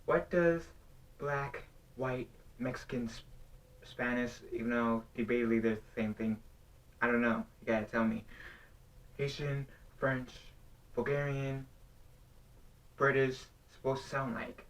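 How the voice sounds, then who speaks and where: A man's voice: medium pitch, a little slow, pauses often, a bit bright. A young man vlogging off the cuff, rambling and restarting as he earnestly poses a question. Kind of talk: unscripted talk to camera. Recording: voice somewhat distant from the mic.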